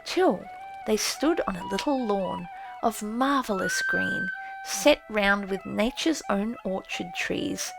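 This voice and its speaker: Slow, high-pitched woman narrating a classic story. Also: low-quality recording. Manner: solemn, expressive. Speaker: audiobook narrator. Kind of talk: dramatic literary story narration. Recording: prominent music under the voice. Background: music and effects.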